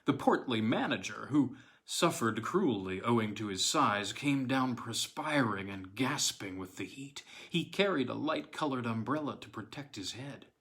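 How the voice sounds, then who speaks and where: A man's voice: mid-range voice, animated, a bit bright. Man narrating a classic weird tale. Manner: measured, deliberate storytelling with dramatic pauses. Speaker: audiobook narrator.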